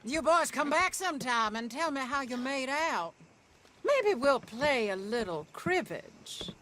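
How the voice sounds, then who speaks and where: Imperious elderly plantation lady, Southern drawl, forceful bright tone, curt, sardonic, some background noise, game cutscene. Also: sits high, sing-song, a little slow, heavily acted. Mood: sly, commanding. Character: imperious, sly old Southern plantation matriarch. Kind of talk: video game cutscene acting.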